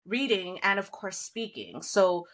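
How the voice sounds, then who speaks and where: Bright-voiced animated woman from India. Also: medium pitch, unhurried. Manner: animated, laughs often while telling stories. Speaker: guest. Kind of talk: casual conversation.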